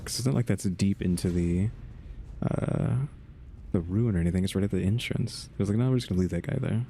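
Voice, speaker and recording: A man's voice: deep voice, a little fast, creaky, mellow, slight background noise. A man giving casual, off-the-cuff gameplay commentary, musing aloud in a wry, rambling way. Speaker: gaming streamer. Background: game music.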